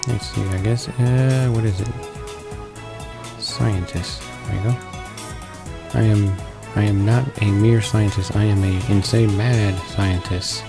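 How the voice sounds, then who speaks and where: A man's voice: lowish voice, steady tone, slow pace, vocal fry. A man hosting a playthrough, reading a visual novel aloud and stumbling now and then over words. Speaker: let's play host. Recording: voice-over with game music behind.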